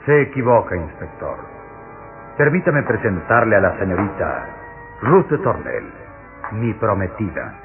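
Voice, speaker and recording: An actor playing a cold, authoritarian vampire count in a radio drama, speaking with ceremonious courtesy. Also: male voice, on the low side, sing-song, unhurried. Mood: icy courtesy. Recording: tinny old radio recording, music behind.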